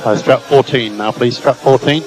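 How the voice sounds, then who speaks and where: A man's voice: medium pitch, normal speed, music in the background. A man working as a race engineer, giving terse, clipped instructions over team radio and repeating the key calls. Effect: team radio transmission. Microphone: radio headset.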